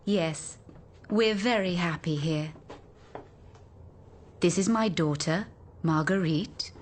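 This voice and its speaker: An actress playing a serene, courteous and oddly ageless housewife in a radio drama. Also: female voice, on the low side, slow, slight background noise. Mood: placid. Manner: placid, formal, courteous. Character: serene, polite, uncannily ageless housewife and mother.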